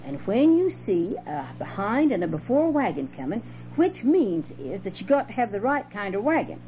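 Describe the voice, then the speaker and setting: A woman's voice: medium pitch, very animated, moderate pace, warm tone. A woman voicing a cheerful, sly male trickster rabbit in a storybook, with folksy, persuasive dialect, on a home cassette recording. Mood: sly, confiding. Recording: dull, noisy home cassette with hum.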